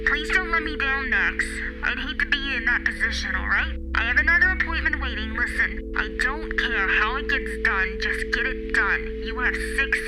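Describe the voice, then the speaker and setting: Lady acting as a fed up no-nonsense boss woman, high voice, blunt and scolding, audio drama with music under. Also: lively intonation, normal speed, lightly acted, rough recording. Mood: stern warning. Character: stern, fed-up female publishing boss, no-nonsense and threatening.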